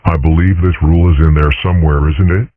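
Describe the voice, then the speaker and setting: Dragon dad for cartoon dub male actor: extremely low, measured deadpan lecturer, dryly mischievous, tinny phone-like audio. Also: husky, no echo. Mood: dry mischief. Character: calm philosophical father dragon, dryly mischievous. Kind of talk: comic dub voice acting. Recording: muffled, phone-like narrow sound.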